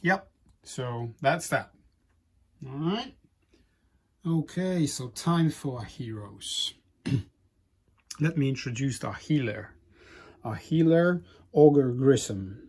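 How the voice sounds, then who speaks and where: A man whose first language is Polish, hosting a board game playthrough in casual, halting commentary. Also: mid-range voice, dark tone. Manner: halting, frequent restarts and fillers. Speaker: board game channel host.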